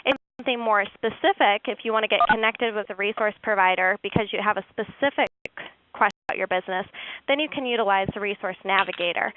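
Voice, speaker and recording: A woman hosting a webinar who walks listeners through online resources in a steady, informative way. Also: medium pitch, quick pace. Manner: steady, informative, occasional fillers. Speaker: webinar host. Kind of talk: webinar presentation. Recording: dry tinny phone-like line.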